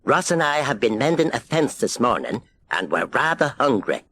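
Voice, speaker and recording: A man's voice: medium pitch, big pitch swings, moderate pace, fairly bright tone, pitched above the performer's own voice. A man voicing a polite, hungry crofter in a children's storybook. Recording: hissy old cassette transfer.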